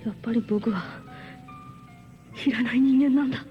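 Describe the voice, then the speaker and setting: A woman's voice: on the high side, drawn-out words, muffled recording, over music. An actress playing an anguished, frightened teenage boy in an anime. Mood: dejected. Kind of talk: anime voice acting. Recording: noisy old cassette copy.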